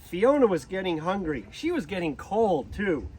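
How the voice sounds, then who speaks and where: A man reading a children's storybook aloud in a deliberate manner, occasionally stumbling over a word. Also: sits high, big pitch swings, darkish tone, a little hiss or hum, poor audio. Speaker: zoo director reading a storybook.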